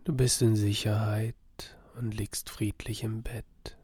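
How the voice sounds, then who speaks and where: A man softly narrating a guided body-scan meditation for sleep, soothing and half-whispered, with a breathy voice. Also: on the low side, level tone, slow pace, dry recording, studio quality.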